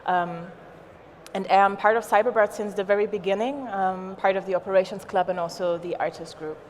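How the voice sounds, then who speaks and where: A woman in her thirties from Germany introducing herself as a visual artist on a panel, friendly with pauses. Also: mid-range voice, fairly even intonation, a little mellow, a little hiss or hum. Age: 30s. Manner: friendly, pausing with fillers. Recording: long hall reverb, faint ambience.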